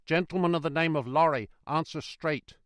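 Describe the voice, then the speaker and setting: A man puts on a gruff coach guard for a storybook. He is blunt and suspicious, challenging whoever comes near, in a close, dry sound. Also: a little high, normal speed, a bit bright, no room sound, voice pushed up, close to an everyday voice. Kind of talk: dramatised storybook reading.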